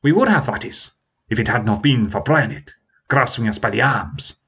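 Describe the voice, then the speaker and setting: A man's voice: medium pitch, normal speed, husky, muffled recording, heavily acted. A man doing an old Scottish warrior spinning a tale. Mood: tense. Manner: lively storytelling with dialect words.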